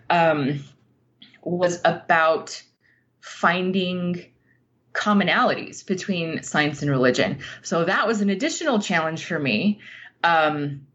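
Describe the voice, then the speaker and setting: A woman giving a reflective, conversational interview as a guest writer, speaking candidly and informally in a halting way over muffled audio. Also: lowish voice, a bit bright.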